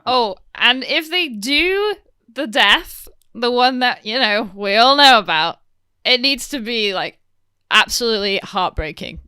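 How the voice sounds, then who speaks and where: Bright, clear-voiced woman chatting. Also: fairly high pitch, lively intonation, a little slow, dry recording. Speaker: podcast panelist. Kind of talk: casual fan discussion.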